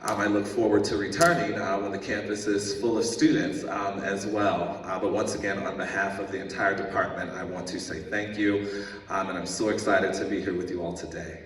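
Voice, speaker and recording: Low-voiced official speaking in a hall. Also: male voice, steady tone, brisk. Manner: earnest, many ums and uhs. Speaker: education official giving remarks. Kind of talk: ceremony speech. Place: large indoor hall. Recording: echoey hall from far off.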